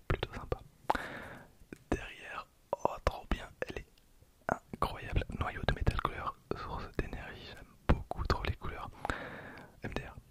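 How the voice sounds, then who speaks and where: A man in his late twenties whispering ASMR commentary softly and unhurriedly, close to the microphone. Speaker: ASMR video creator opening trading cards. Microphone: close handheld stereo recorder.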